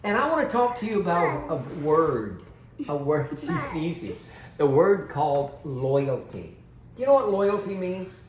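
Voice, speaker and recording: Slow, animated man teaching children, roomy sound. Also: a little high, a crowd in the background. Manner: simple, instructive, questioning talk to small children. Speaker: children's pastor and storyteller. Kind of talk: children's Bible lesson and story time. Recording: roomy, distant mic with background noise.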